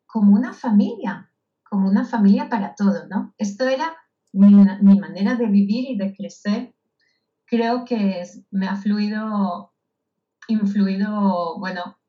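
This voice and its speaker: A woman from Israel, a guest in a casual interview, speaking slowly and thoughtfully with many hesitations. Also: medium pitch, mellow. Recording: dull lo-fi audio.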